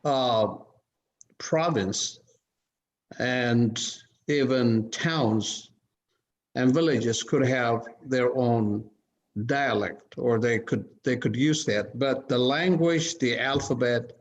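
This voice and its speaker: A man from Ethiopia speaking on a video-call panel, measured and halting with frequent restarts. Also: mid-range voice. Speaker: conference panelist. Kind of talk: casual panel discussion. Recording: dull lo-fi call audio.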